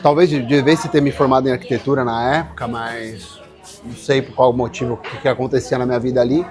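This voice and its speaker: A man's voice: mid-range voice, fairly even intonation, quick pace, crowd noise. A middle-aged man with a São Paulo Brazilian accent, chatting casually and slangily as an interview guest. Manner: informal, slangy. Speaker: interview guest, furniture designer. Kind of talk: casual chat.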